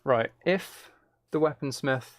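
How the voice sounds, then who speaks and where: A man casually commentating on his game and chatting to viewers, muttering along in a low-key way, close to the mic. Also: a little slow, no echo. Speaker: streamer playing a game while chatting with viewers.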